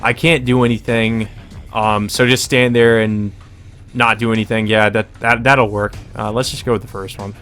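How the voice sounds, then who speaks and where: A man's voice: lowish voice, level tone, moderate pace, fairly bright tone, faint music behind. A man reading visual novel narration aloud as a let's play, mixed with casual, sweary commentary.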